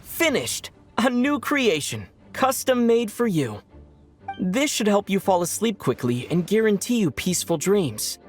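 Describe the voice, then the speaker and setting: A woman voicing a gentle, considerate heroine in a video game. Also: mid-range voice, very animated, unhurried, soft background music. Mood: proud, gentle. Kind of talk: scripted video game dialogue.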